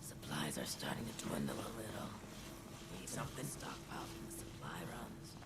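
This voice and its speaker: An actor playing a wistful vault dweller in a video game, speaking in a breathy, gravelly voice about better days. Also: male voice, extremely low pitch, very animated, moderate pace, heavy background noise, heavily acted. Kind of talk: scripted video game background dialogue.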